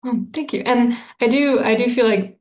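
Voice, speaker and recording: A woman's voice: fairly high pitch, normal speed, echoey room. A woman author answering interview questions in a casual, thoughtful way, with lots of fillers and restarts.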